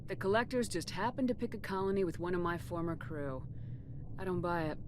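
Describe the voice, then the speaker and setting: A resolute female military commander, played by an actress, with a fairly low voice. She speaks in a measured, direct manner over clear ambient noise in a video game. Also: natural voice.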